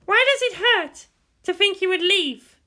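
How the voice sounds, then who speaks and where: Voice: very high-pitched, unhurried, sharp tone, voice pushed up, heavily acted. A narrator voicing a proud, anguished teenage girl pilot in an intense, insistent inner monologue for a story reading. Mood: angry, hurt.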